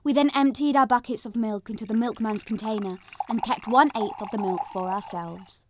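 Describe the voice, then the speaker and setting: A woman playing an eager young Punjabi village girl, telling her story in expressive first-person dramatic narration. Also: on the high side, normal speed, noisy background, close to an everyday voice. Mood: reflective.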